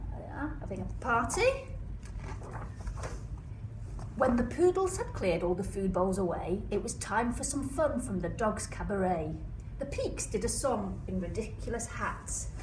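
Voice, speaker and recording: Female storyteller, teacher-like, expressive rhyming read-aloud. Roomy, off-mic sound with clear background noise. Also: medium pitch, unhurried. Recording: echoey room, distant mic, noisy background.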